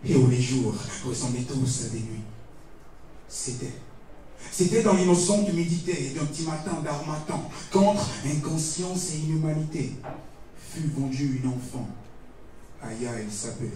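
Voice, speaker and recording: A man performing slam poetry in a dramatic, solemn, declamatory way with deliberate pauses. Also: high-pitched, a little mellow, far from the mic. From Togo. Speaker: slam poet competing on stage. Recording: echoey stage recording from afar, music behind.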